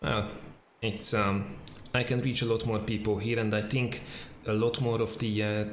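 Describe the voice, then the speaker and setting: A man with a Hungarian accent talks through a game live stream in a relaxed, chatty way, full of "uh" and "um" as he answers viewers. His voice sits on the low side. The room is echoey, with a faint hiss underneath. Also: moderate pace. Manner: relaxed, chatty, many fillers, answers chat questions. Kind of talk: casual live-stream chat.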